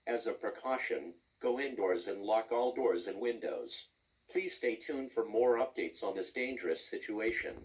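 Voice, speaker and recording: A man's voice: medium pitch, dull audio. Stilted male emergency alert announcer, distant.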